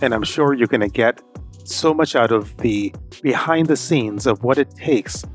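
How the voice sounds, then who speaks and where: A man's voice: mid-range voice, normal speed, soft background music. A man hosting a podcast, introducing and interviewing a guest. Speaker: podcast host.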